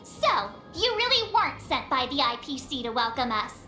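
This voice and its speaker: Bright, perky young adventurer. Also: female voice, sits high, big pitch swings, normal speed, echoey room, soft background music, heavily acted. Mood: questioning. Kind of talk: video game voice acting.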